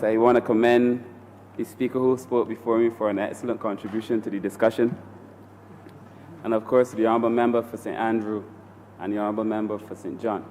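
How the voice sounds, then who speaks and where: A man's voice: lowish voice, darkish tone. A man with a Barbadian accent, a member of parliament, opening a formal, courteous speech in debate. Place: parliament chamber. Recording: long hall reverb with faint hiss.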